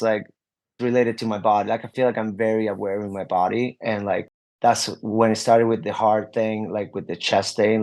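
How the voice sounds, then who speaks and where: A man's voice: fairly low pitch, darkish tone. A young adult man, a guest musician, answering interview questions openly in a rambling way, full of "like" fillers and restarts.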